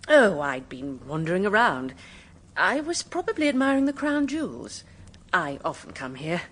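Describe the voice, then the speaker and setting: A woman's voice: fairly low pitch, sing-song, normal speed, fairly bright tone. An actress playing a well-bred, evasive British married woman in a radio drama, polite with anxiety under the poise. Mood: airy, disdainful.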